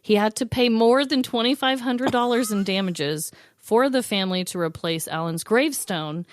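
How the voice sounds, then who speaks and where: A woman's voice: medium pitch, moderate pace, no room sound, studio quality. A woman co-hosting a podcast, telling stories in casual chat as she runs a game.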